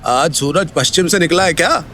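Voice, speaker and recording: A man's voice: fairly high pitch, fairly quick, background noise, close to an everyday voice. A man playing a sarcastic, scornful businessman uncle in an audio drama, taunting and argumentative. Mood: mocking. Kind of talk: Hindi audio drama acting.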